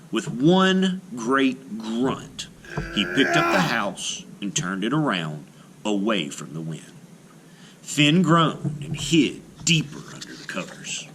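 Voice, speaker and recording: A man reading a folktale aloud to children as an expressive storyteller. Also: medium pitch, a little hiss or hum.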